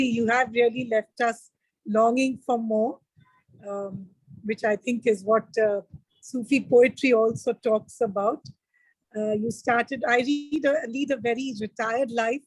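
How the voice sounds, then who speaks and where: Woman on a video call, husky voice. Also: on the high side, dry recording, rough recording. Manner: halting, many fillers and restarts. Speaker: member giving vote of thanks. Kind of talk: thank-you speech on video call.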